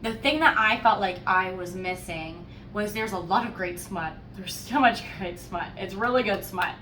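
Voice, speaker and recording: A woman chats through a casual book review with playful, wry emphasis. Her voice sits at an average pitch and is animated in its storytelling. The recording is echoey and a little distant, with faint noise behind her. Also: normal speed. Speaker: host of a book discussion podcast. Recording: roomy echo, distant mic, faint noise.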